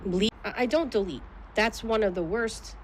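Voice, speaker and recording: A woman's voice: medium pitch, normal speed, a little hiss or hum. A woman answering questions in a legal deposition in a guarded, clipped manner. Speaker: plaintiff being deposed.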